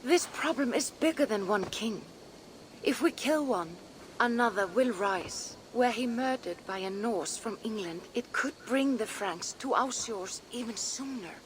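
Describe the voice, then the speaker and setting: A level-headed female Viking advisor in a game drama. She speaks in a fairly high, measured voice with cautious reasoning, over faint ambient noise. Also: lively intonation, poor audio. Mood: grave, counseling. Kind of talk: dramatic video game acting.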